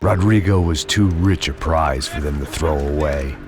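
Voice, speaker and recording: Loud music surrounds a rock-bottom deep American voice, a jaded, self-loathing video game bodyguard. He speaks in terse, deadpan noir lines with weary cynicism. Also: normal speed. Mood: weary, sardonic. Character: world-weary, self-loathing, hard-drinking male bodyguard, cynical antihero. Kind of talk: noir scripted narration and game cutscene dialogue.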